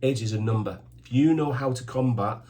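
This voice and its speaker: A man's voice: mid-range voice, a little fast, warm tone. A man in his fifties giving supplement and bodybuilding advice straight to camera, in short emphatic commands and an enthusiastic, plain-spoken way. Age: 50s. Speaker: supplement shop owner giving fitness advice.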